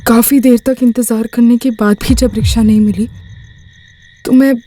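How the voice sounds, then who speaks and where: A young woman playing a shaken crime victim who gives her account haltingly in a TV crime drama. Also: on the high side, fairly even intonation, far from the mic, natural voice. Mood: subdued, shaken. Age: young adult. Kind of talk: TV crime drama acting, first-person account. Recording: off mic with clear background noise.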